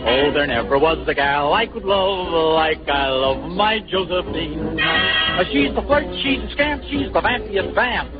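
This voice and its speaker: A man's voice: fairly high pitch, animated, unhurried. A man delivering a 1930s jazz patter song. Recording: tinny 1930s radio broadcast, loud music. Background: loud band music.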